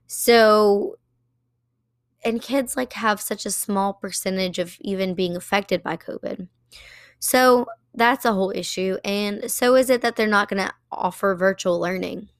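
A hoarse woman hosting a solo commentary podcast, talking conversationally with frequent fillers and pauses. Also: medium pitch, no echo, studio quality. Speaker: podcast host.

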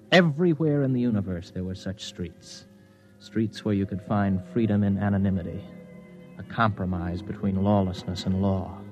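An actor playing a weary hardboiled man who narrates his own story in an old-time radio drama, measured and brooding. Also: fairly low pitch, darkish tone, close to an everyday voice. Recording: dull vintage radio recording, music.

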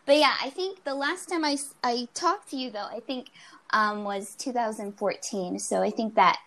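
A woman's voice: fairly high pitch, normal speed, a bit bright. A woman hosting an interview, speaking hesitantly with many fillers and small laughs. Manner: hesitant, many ums, giggly. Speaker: interview host. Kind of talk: conversational interview.

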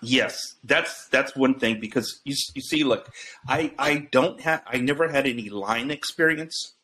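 A man's voice: medium pitch, a little slow, sharp tone. A middle-aged man, a guest on a talk show, telling stories in a rambling way full of restarts and fillers. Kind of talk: casual storytelling.

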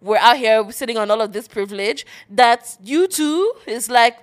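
A woman's voice: on the high side, moderate pace. A woman from Nigeria co-hosting a podcast in casual chat. She teases, uses a lot of slang and laughs often. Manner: playful, teasing, laughs often, slang-heavy. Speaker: podcast co-host.

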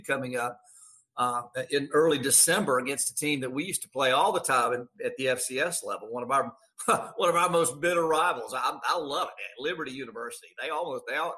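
A man's voice: mid-range voice, pushed and bright. A man who announces sports on radio, talking conversationally as an interview guest and repeating phrases for emphasis.

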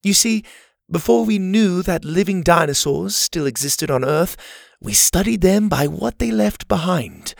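A man voicing an older woman who runs a camp, addressing the children in a slow, formal way. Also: high voice, animated, creaky, dry recording, studio quality, pitched above the performer's own voice, exaggerated voice. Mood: formal, welcoming.

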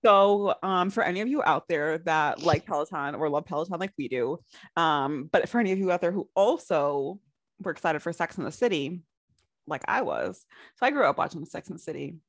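A woman's voice: deep voice, brisk, no echo. A woman co-hosting a podcast, chatting casually and telling stories with some swearing. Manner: chatty, swears, animated storytelling. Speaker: podcast co-host.

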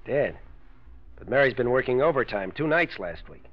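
An actor playing a proud, uneasy out-of-work husband in a 1940s radio drama, plainspoken and brooding. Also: male voice, mid-range voice, very animated, normal speed. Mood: uneasy, suspicious. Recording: dull old radio recording.

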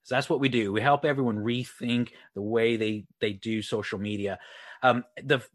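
A man's voice: mid-range voice, fairly even intonation, normal speed, no room sound, clean studio sound. A man, a guest coach giving casual, friendly interview answers full of fillers. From Texas, USA. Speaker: guest, online marketing coach. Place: lives near Leeds, UK.

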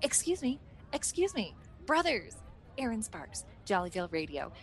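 A woman's voice: on the high side, sing-song, moderate pace. A woman playing a chirpy, eager young red carpet reporter in a comedic radio sketch, polite and gushing.

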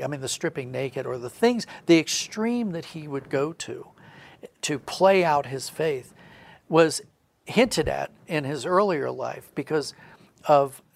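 A man with a fairly high voice and a noticeable creak talks in a religious podcast discussion. He is earnest and reflective, restating his points to drive them home. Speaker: audio drama writer discussing his work.

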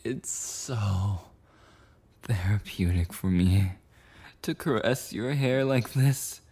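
A man's voice: on the low side, slow pace, warm tone, husky. A man playing a clingy, possessive yandere boyfriend in an intimate ASMR roleplay monologue, rambling and cooing tenderly with lapses into whispers. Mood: tender, soothed.

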